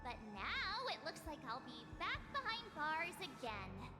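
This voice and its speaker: A perky young adventurer girl in an anime-style game. The voice is extremely high and swings about expressively, girlish and easily startled. Loud music plays behind it. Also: wildly swooping pitch, unhurried, in a large hall, full character voice. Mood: dismayed.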